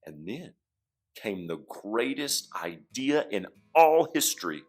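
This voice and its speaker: A man reading rhyming verse aloud for children as an expressive, dramatic storyteller. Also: lowish voice, slow, faint music behind. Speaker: storybook reader.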